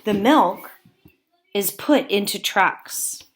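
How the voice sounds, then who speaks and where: A woman teacher reading aloud to young children in simple, deliberate phrasing, pausing to explain as she goes. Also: medium pitch, animated, slight background noise. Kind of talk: read-aloud lesson with explanatory asides.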